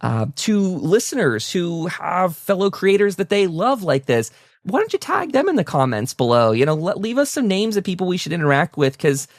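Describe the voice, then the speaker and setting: A man's voice: high voice, very animated, normal speed, no room sound, studio quality. A man hosting a casual talk show, speaking in an effusive, appreciative manner to guests and listeners. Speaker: host of a fan talk show. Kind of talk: casual interview and sign-off to listeners.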